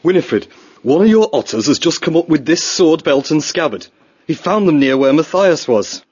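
Husky male voice, man acting a friendly mouse brother, eager fisherman, storybook drama, dull audio. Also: a little high, big pitch swings, normal speed, a little mellow. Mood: earnest.

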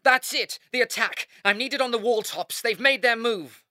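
A man's voice: extremely high pitch, moderate pace, bright, forceful tone, no echo, clean studio sound, voice pushed up, full character voice. A man voicing a cheeky, jovial young male hare soldier. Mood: urgent. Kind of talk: audiobook character voice.

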